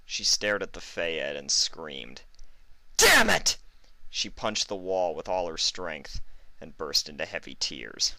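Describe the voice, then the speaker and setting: Male narrator for dramatic fiction, low voice with a forceful, bright edge. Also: measured pace, dry recording. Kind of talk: dramatic scripted story narration.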